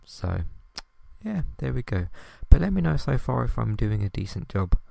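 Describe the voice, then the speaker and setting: A young man hosting a podcast, talking softly and hesitantly with many ums as he tries out ASMR for the first time. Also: mid-range voice, big pitch swings, normal speed, gravelly, no echo. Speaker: podcast host. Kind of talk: first attempt at ASMR, quiet podcast chat.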